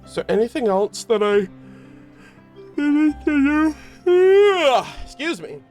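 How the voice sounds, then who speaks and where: A man's voice: extremely high pitch, sing-song, takes it slowly, a little mellow, soft background music. A man live streaming a game, chatting informally and jokingly with his viewers in slangy language. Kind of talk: casual game streaming chat.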